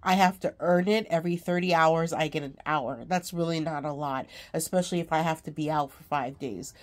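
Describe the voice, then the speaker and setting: Low, stuffy-nosed woman vlogging while sick; rambling, casual, lots of fillers. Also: a little fast. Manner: rambling, many ums, laughs between lines. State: congested, sick. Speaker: craft vlogger. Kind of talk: casual chat to camera.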